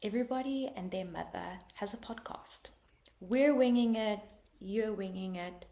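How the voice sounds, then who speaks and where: Woman, South African accent, podcast host, gravelly edge, upbeat. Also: mid-range voice, a little slow, warm tone. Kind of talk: scripted podcast intro.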